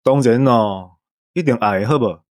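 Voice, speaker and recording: A man's voice: mid-range voice, normal speed, no echo, clean studio sound. A man in his forties with a Taiwanese accent, co-hosting in scripted casual banter. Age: 40s. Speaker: co-host.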